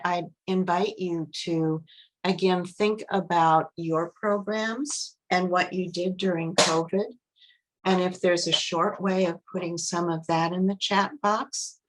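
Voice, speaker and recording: Lowish-voiced woman, relaxed webinar presenter, conversational. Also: takes it slowly, low-quality recording. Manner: relaxed, chatty, with fillers.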